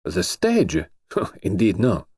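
A man voicing a brisk, mysterious foreign gentleman who speaks in crisp, clipped, formal phrases. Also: fairly low pitch, wildly swooping pitch, no room sound, clean studio sound, exaggerated voice.

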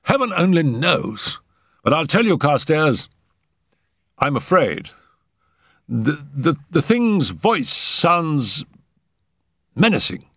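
A man voicing an anxious, frightened gentleman in an audiobook, earnest, agitated and halting. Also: fairly high pitch, big pitch swings, husky, no room sound, studio quality, voice pushed up, full character voice. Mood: afraid. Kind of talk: audiobook character acting.

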